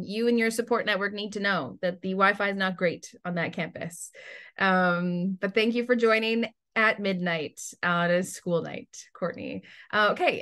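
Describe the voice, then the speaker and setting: A woman's voice: medium pitch, a bit bright. Webinar host, female. Informal and chatty, mid-range voice, feeling her way through introductions. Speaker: panel host, admissions committee staffer. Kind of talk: hosting an online webinar, casual introductions.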